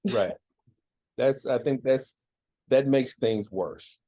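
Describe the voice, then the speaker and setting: A man hosts the interview in a mellow, darker voice at a middle pitch. He asks measured questions and often affirms the guest with a quiet "right.".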